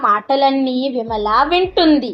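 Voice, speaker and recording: A woman's voice: high voice, animated, unhurried, highs cut off. A woman reading scripted narration for a children's moral story.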